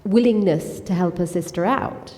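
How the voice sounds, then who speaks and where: A woman's voice: lowish voice, moderate pace, dark tone. A woman moderating a book talk at a festival, asking long questions thoughtfully and hesitantly with fillers. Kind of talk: festival stage conversation. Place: festival hall. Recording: long hall reverb.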